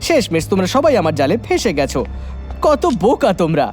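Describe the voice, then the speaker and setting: A man's voice: high-pitched, big pitch swings, a little fast, over music. A man playing a bossy, boastful mad scientist villain in a dubbed children's cartoon. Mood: gloating. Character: scheming, gloating male mad scientist villain.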